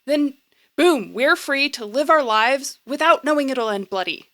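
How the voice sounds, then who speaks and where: An actress playing an earnest, anxious young woman in an audio drama, informal and wry, sometimes halting. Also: high-pitched, expressive, bright, forceful tone, full character voice. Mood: upset, pleading.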